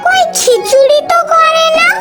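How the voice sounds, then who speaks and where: A squeaky, outspoken little cartoon girl with a West Bengal Bengali accent. She whines and questions in a slow, singsong-wide pitch over loud children's music. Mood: cheeky, curious. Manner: whiny, questioning. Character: little schoolgirl daughter, fussy, hungry, outspoken. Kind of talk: cartoon family dialogue.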